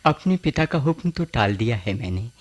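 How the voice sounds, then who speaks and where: A man acting a remorseful, earnest husband in a Hindi radio play, pleading in a halting, solemn way. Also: mid-range voice, sing-song.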